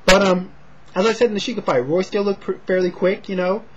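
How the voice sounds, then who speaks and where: An American man giving a casual, low-key and hesitant fight preview, not loud and slightly short of breath. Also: fairly even intonation, a little fast, slight background noise. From Sacramento, California, United States. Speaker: amateur boxing commentator and vlogger. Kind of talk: casual talk to camera, fight preview and prediction. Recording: dull, lo-fi home recording.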